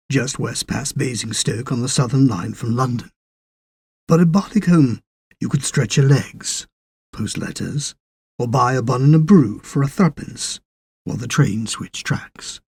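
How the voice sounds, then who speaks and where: Slow, husky gravelly man narrating a story. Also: mid-range voice, darkish tone, no room sound, clean studio sound. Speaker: audiobook narrator. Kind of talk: scripted literary story narration.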